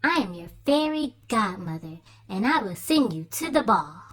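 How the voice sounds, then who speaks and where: Storybook fairy godmother, played by a woman, kind, magical, soft and breathy, soothing, lilting delivery. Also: medium pitch, big pitch swings, normal speed. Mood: gentle, reassuring. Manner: gentle, soothing.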